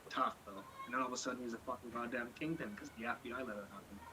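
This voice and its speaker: A man rattles off blunt, profane, rambling opinions at a quick clip. He speaks at an ordinary pitch for a man. Clear background noise runs under his voice. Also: fairly even intonation. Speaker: podcast co-host. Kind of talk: casual chat.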